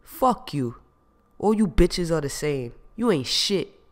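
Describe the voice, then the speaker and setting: A man reading an audiobook, voicing a jealous, possessive ex-boyfriend who accuses and shouts. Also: on the high side, moderate pace, lightly acted. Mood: furious. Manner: loud, accusing, streetwise.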